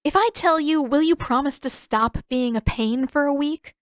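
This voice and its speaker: A woman voicing a bossy, sharp-tongued teenage girl in an audiobook, firm and sarcastic. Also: sits high, moderate pace, dry recording, clean studio sound, voice pushed up, natural voice. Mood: firm bargaining.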